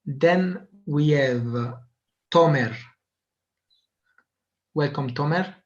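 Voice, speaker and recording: A man's voice: fairly high pitch, extremely slow. Hesitant man hosting a video call. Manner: hesitant, many filler sounds. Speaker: meeting host. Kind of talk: hosting an online meeting. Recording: muffled lo-fi video call audio.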